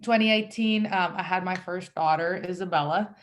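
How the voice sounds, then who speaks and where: A woman's voice: fairly low pitch, normal speed. A woman in her thirties from El Salvador, a guest talking casually about her business with lots of fillers. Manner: chatty, with frequent fillers and self-quotes. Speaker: guest entrepreneur. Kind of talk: casual conversational interview.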